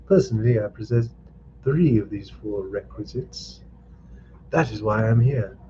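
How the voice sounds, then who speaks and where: Man doing gallant young swordsman, confident, courtly, declaiming, dark-toned, muffled. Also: fairly low pitch, moderate pace, slight background noise, lightly acted.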